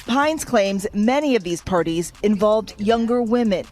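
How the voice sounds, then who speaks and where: A woman delivers a scripted news voice-over in a reporter's style. Her voice is mid-pitched, with faint music behind it. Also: expressive, measured pace, darkish tone.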